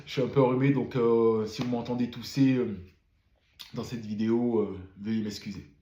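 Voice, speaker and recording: A man's voice: medium pitch, fairly even intonation, moderate pace, dark tone, roomy sound, muffled recording. A man who has a cold gives a casual spoken debrief of a motor race, full of fillers and repeated words. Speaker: F1 commentator.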